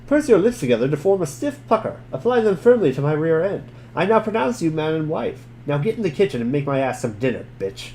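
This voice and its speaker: Man putting on a sneering, animated textbook author. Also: fairly high pitch, a little fast, few pauses, slight background noise. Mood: scornful. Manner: sneering, exasperated, insulting. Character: contemptuous, foul-mouthed author of an insulting how-to textbook.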